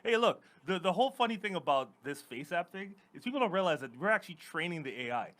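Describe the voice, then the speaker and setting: A man from Trinidad with a Trinidadian accent, co-hosting a podcast in casual comedic chat, joking and animated. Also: sits high, fast talker. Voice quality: animated, joking, repeats words. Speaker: podcast co-host.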